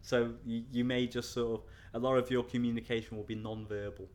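A middle-aged man with a Scouse accent answers interview questions at a mid pitch. He rambles in a casual, chatty way, full of fillers and restarts. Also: level tone, fairly quick, a little mellow. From North Wales.

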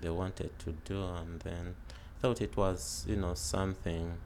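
Low, gravelly-voiced young man, hesitant. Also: level tone, a little slow, darkish tone, a little hiss or hum. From Uganda. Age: 20s. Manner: hesitant, many fillers and restarts. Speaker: interviewee, art student. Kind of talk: casual oral history interview.

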